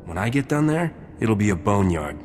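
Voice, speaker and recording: A roguish starship smuggler speaks in a deep, low voice. He is cool and cocky, in scripted game dialogue with long reverb and music behind. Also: sing-song, normal speed. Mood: cool, confident. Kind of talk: scripted video game acting.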